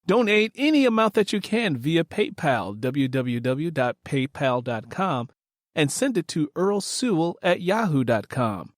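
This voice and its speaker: A man's voice: medium pitch, no echo, studio quality. A man hosting a podcast, addressing listeners in a scripted announcement. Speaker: podcast host, creator and narrator.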